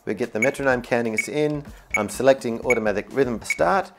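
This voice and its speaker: A man's voice: mid-range voice, brisk, noisy background. A man giving an upbeat, informal and enthusiastic instructional product demo, explaining features as he goes. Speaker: product demonstrator. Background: keyboard music.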